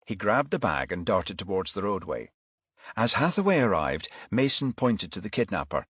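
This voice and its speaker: Man, audiobook narrator, low pitch, dry studio sound, scripted thriller prose. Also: very animated, normal speed, fairly bright tone, husky, no room sound.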